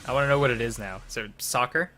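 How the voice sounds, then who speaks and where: A man's voice: mid-range voice, fast, pushed and bright, soft background music. A man rambling casually and a little hesitantly as he hosts a gaming series, bemused by what he finds. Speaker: host of a gaming driving series. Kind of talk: casual rambling game commentary.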